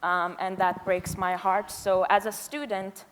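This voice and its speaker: A woman's voice: medium pitch, flat delivery. Nervous young woman speaking in an echoey hall. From Afghan, grew up in Pakistan. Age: 20s. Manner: hesitant, halting. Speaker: university student speaker. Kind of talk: informal, unscripted public speech. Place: large indoor event hall. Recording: echoey hall, long reverb.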